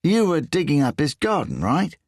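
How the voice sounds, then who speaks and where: A man's voice: a little high, big pitch swings, moderate pace, husky, no room sound, clean studio sound, pitched above the performer's own voice, exaggerated voice. A man voicing a nosy, nervous burglar with an English accent and a whiny tone. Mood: prying. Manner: whiny, questioning.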